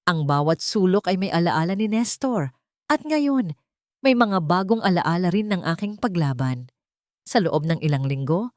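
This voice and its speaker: Low-voiced woman narrating a drama, dry sound. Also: darkish tone, no echo. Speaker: audio story narrator. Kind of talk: scripted dramatic first-person narration.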